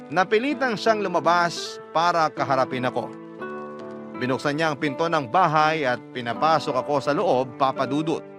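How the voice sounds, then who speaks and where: Higher-voiced Filipino man narrating over music. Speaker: narrator of a radio love story. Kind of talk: dramatic first-person story narration. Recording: music clearly behind the voice.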